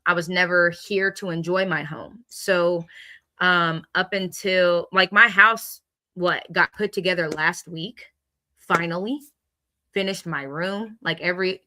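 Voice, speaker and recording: Female American co-host, candid and emphatic, with a bright, punchy voice pitched a little low. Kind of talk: casual chat, candid personal reflection.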